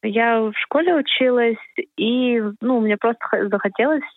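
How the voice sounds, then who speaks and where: A woman of twenty, an athlete answering interview questions in a hesitant, halting way over a phone call. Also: fairly high pitch, no echo. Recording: narrow telephone line, dry.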